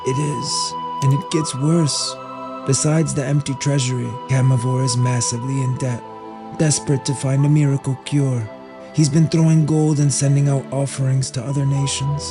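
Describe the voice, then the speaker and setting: A man acts a concerned fantasy aide delivering grim reports. He is informative and cautious, with a medium-pitched voice. Music runs clearly underneath. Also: little pitch movement, moderate pace, close to an everyday voice. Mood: grave, worried. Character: worried loyal aide reporting bad news. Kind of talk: fantasy audio drama acting.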